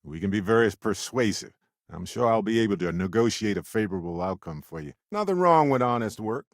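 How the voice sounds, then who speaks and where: A man's voice: on the low side, animated, brisk, no room sound, clean studio sound. A male actor voicing a charming, good-humored space explorer in a video game, with a glib, playful, conversational delivery and a theatrical streak. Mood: warm, earnest. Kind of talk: video game voice acting, companion dialogue.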